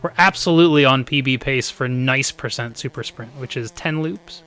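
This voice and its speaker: A man of forty, a bit hoarse, chatting upbeat with viewers while he plays a live speedrun from home. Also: medium pitch, moderate pace, fairly bright tone, slight background noise. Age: 40s. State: slightly hoarse. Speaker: speedrun streamer. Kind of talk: live-stream gameplay commentary.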